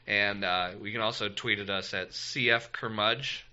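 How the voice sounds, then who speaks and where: Male co-host, casual and self-deprecating. Sharp, fairly low voice, muffled lo-fi sound. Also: fairly even intonation, normal speed, clean tone. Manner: rambling and self-deprecating, lots of ums. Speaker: podcast co-host. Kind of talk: casual podcast chat. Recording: muffled lo-fi podcast audio.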